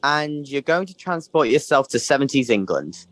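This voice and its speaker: A man's voice: mid-range voice, sing-song, normal speed, a bit bright. A man playing a questioning, dutiful prison chief guard in an audio drama. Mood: sceptical.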